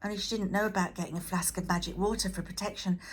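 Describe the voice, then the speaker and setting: A woman's voice: mid-range voice, fairly even intonation, fast, slight background noise, natural voice. A woman voicing an earnest young male storybook hero, eager, breathless and pleading.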